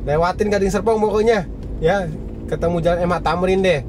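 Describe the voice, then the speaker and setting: A man's voice: high-pitched, a little fast. A man narrating his night drive in a casual, chatty way, talking to viewers from inside a car. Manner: chatty, repeats "ya kan" and "teman-teman". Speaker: car vlogger. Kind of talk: casual narration while driving. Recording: clear car and road noise. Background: road and engine noise.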